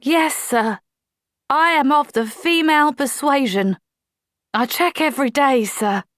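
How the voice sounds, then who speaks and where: A woman's voice: high-pitched, a little slow, a bit bright, no room sound, studio quality, pitched above the performer's own voice. A woman reading aloud, voicing an earnest young woman soldier in a storybook. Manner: firm, apologetic, dutiful.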